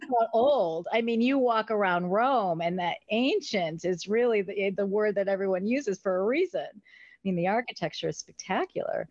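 A middle-aged American woman telling her story conversationally as an interview guest, in an animated way. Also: medium pitch, normal speed. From United States. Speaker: interview guest, company founder. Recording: roomy, slightly dull sound.

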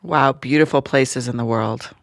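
A woman's voice: rumbling low, moderate pace, no room sound. Woman hosting, dry sound. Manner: affirming, informal. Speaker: podcast host. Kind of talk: casual conversational interviewing.

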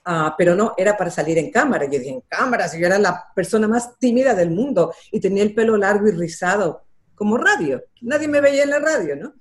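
Older woman, interview guest, earnest, reflective, fills pauses. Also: medium pitch, lively intonation. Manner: earnest, storytelling, frequent fillers. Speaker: interview guest, television journalist.

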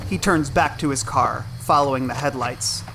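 A man reading scripted screenplay narration as a narrator, in a measured, atmospheric storytelling manner. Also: medium pitch, gravelly. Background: music.

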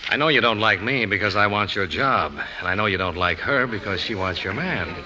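A man's voice: fairly low pitch, expressive, a little fast, bright, forceful tone, rough recording. An actor playing an insolent, sarcastic male office rival who needles people in a 1950s radio drama. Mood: sarcastic, needling. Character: sneering, insolent office rival of a woman boss.

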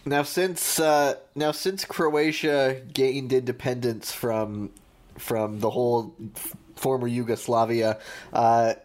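A man co-hosting a podcast, speaking in a measured, slightly hesitant and dry way. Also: medium pitch, fairly even intonation, a little mellow. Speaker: podcast co-host. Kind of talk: casual podcast chat.

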